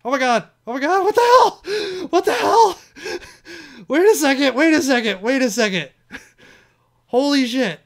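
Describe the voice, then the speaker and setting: A man's voice: extremely high pitch, lively intonation, unhurried. A young man with a Midwestern American accent who talks casually and rambles. He keeps correcting himself, swears, and laughs at his own mistakes. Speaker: vlog show host. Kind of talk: casual solo commentary, reading quiz items aloud.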